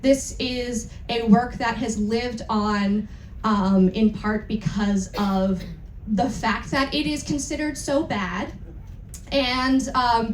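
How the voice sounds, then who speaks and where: A woman hosting a live event, giving an informal, candid and irreverent introduction to an audience. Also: a little high. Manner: candid, irreverent, casually sweary. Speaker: event host introducing a dramatic reading. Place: indoor event room on a cruise. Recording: roomy live event sound with noticeable noise.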